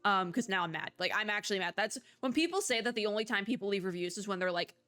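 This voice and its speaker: A woman's voice: medium pitch, expressive, fast talker, pushed and bright. Chatty woman hosting game videos. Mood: annoyed. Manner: chatty, rambling, informal. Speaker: video game demo host. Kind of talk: casual unscripted game commentary.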